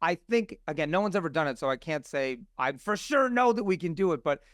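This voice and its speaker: A man talking casually on a podcast in an effusive, earnest and animated way. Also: on the high side, a little fast, dry recording, clean studio sound.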